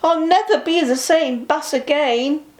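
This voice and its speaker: A woman's voice: high-pitched, unhurried, darkish tone, echoey room, voice pushed up. A woman voicing an eager, fretful male bus in a children's storybook, with dramatic hoots and exclamations. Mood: grim, groaning.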